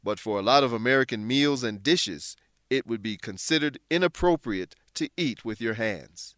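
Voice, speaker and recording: A man with a mid-pitched voice presents a scripted English lesson. He explains terms in a deliberate, teacherly way. The recording is dry, with hardly any room sound. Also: lively intonation, fairly bright tone, dry recording. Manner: instructional, deliberate word-by-word explanations. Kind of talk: scripted educational narration.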